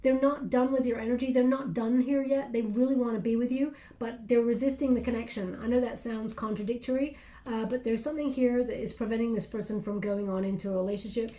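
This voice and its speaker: A woman with a fairly high, mellow voice gives a tarot reading indoors at a table, chatty and reassuring. Her voice sounds scratchy, as if she has been ill. The room adds a noticeable echo. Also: fairly even intonation, brisk. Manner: chatty, reassuring, tag questions like okay. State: scratchy voice from illness. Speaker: tarot reader.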